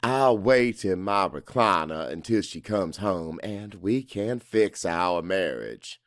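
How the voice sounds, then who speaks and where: A man's voice: deep voice, animated, takes it slowly, fairly bright tone, dry recording, clean studio sound. A man narrating first-person dramatic fiction in a brooding, confessional manner. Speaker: audiobook narrator.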